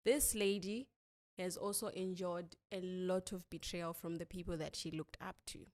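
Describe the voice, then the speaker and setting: A woman podcast host with a fairly low voice speaks in short, deliberate phrases. She sounds like an interviewer presenting and questioning a guest. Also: steady tone, a little mellow. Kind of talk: interview with a scripted-sounding introduction.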